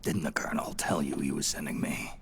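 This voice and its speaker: An actor playing a gruff, weary old soldier in a video game, terse and stoic, with a growling voice. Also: male voice, rumbling low, steady tone, a little hiss or hum. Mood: grave, probing. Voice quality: gravelly, growling. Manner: terse, slow, deliberate. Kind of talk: dramatic video game cutscene acting. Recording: dramatic music behind the voice.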